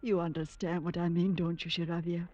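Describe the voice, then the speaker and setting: An actress playing a brooding, anguished woman in a 1940s radio drama, with intense, deliberate delivery. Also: lowish voice, little pitch movement, dark tone, no room sound, lightly acted. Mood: bitter, brooding. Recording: dull, dry old radio recording.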